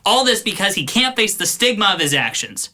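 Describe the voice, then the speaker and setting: A man's voice: high voice, very animated, a little fast, pushed and bright, exaggerated voice. A man playing a surly, mocking, foul-mouthed teenage cousin in an audio drama, blunt and sneering. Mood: irritated, grudging.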